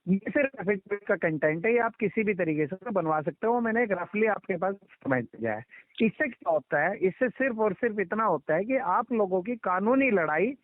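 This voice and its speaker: High-pitched man on a phone call. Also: animated, brisk, no room sound. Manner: insistent, talks over others, repeats instructions. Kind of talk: explanatory phone conversation. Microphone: telephone line. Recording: muffled band-limited phone call recording.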